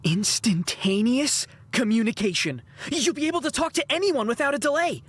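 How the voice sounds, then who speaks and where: A woman playing an eager, brainy seventeen-year-old boy inventor from 1915, husky and enthusiastic, in a period audio drama. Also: a little high, expressive, normal speed. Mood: excited, explaining. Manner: enthusiastic, explanatory.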